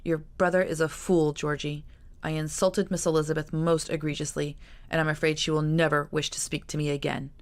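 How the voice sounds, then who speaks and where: A woman voicing a proud, ashamed gentleman in a grave, reserved tone, with a low, gravelly voice. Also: level tone, fairly quick.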